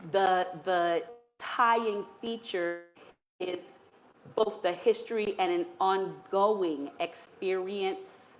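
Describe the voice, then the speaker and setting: A woman's voice: fairly low pitch, slight background noise, rough recording. A woman speaking on an academic panel, building her points in careful spoken lists. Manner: thoughtful, builds points in lists, some fillers.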